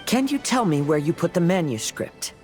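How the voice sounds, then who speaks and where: A man voicing a calm, courteous young wanderer in a video game dub, with polite, gentle phrasing. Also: a little high, moderate pace, soft background music.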